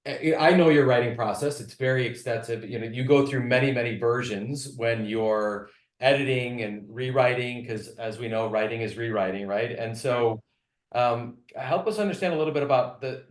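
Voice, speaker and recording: A middle-aged man acts as interviewer in a literary conversation. His voice has an average pitch and sits in a noticeably roomy, echoing space. He speaks earnestly and encouragingly.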